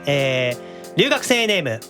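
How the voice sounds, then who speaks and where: A man hosting a casual radio show, chatting and reading out listener messages in a playfully deadpan, joking way. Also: a little high, takes it slowly. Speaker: radio show host and voice actor. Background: faint music.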